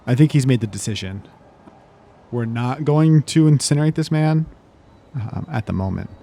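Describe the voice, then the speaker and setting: A man playing a tabletop fantasy game, casually thinking aloud in halting phrases as his character. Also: mid-range voice, expressive, warm tone. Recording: clearly noisy recording.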